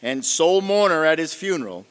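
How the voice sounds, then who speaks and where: A man playing a genial Victorian author who tells his tale in a deliberate, dramatic manner for a staged radio play. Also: a little high, big pitch swings, clear, resonant tone. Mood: dramatic narrating. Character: genial, theatrical male Victorian author and storyteller. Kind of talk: old-time radio play narration on stage. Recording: long hall reverb, music behind.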